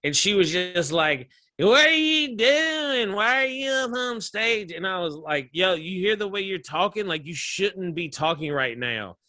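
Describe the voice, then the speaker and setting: Bright-voiced Southern comedian telling stories. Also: male voice, sits high, measured pace, hardly any pauses, no room sound, studio quality. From American South. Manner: animated storyteller, laughs often, acts out voices. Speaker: comedian guest. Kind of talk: casual interview chat.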